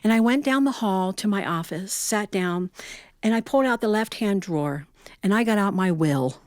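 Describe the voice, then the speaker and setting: A middle-aged woman telling humorous personal stories to an audience in a folksy, animated way, acting out bits of dialogue. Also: medium pitch, moderate pace, no room sound, clean studio sound. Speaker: storyteller.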